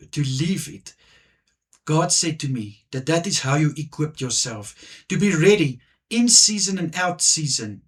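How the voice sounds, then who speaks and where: A man whose first language is Afrikaans, preaching a devotional message in earnest, halting speech with frequent restarts. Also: a little high. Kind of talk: devotional sermon and prayer.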